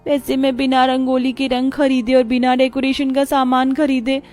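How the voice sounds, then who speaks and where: Woman voicing a high-pitched, earnest little schoolgirl. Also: little pitch movement, normal speed, darkish tone, natural voice. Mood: gentle, understanding. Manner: polite, childlike, earnest. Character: sweet, earnest poor schoolgirl, patient and easily hurt. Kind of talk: Hindi animated moral story dialogue. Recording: music behind the voice.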